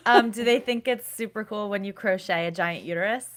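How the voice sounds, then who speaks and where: A woman's voice: medium pitch, fairly quick, background noise. A woman co-hosting a casual interview podcast, joking around and asking playful questions. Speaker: podcast co-host.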